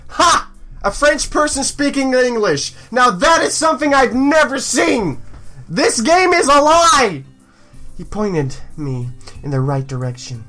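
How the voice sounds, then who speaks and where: A woman hosting a let's play, switching between reading game text aloud and excitable, sweary commentary. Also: high-pitched, measured pace, soft background music. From Europe, near Belgium. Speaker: gaming video host.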